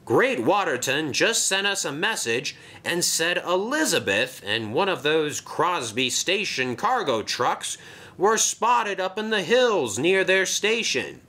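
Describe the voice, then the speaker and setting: A man's voice: a little high, sing-song, takes it slowly, sharp tone, voice pushed up, exaggerated voice. A man voicing a flustered male station master who calls out urgently. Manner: urgent, breathless calling.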